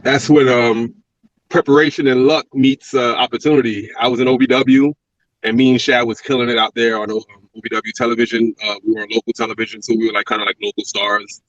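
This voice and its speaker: A man with a Brooklyn accent talks quickly and reflectively, circling back with fillers as he tells his story. The sound is dry and close, with a dull, narrowed lo-fi quality. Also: mid-range voice, level tone, dry recording. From Brooklyn, New York. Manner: reflective, rambling with restarts and fillers. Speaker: guest, former pro wrestler. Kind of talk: casual interview talk.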